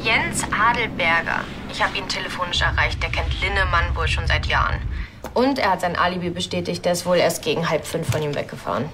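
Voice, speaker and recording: A woman's voice: lowish voice, a little fast, noisy background, close to an everyday voice. An actress playing a composed, factual female police officer in a crime drama. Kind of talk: naturalistic TV crime drama acting.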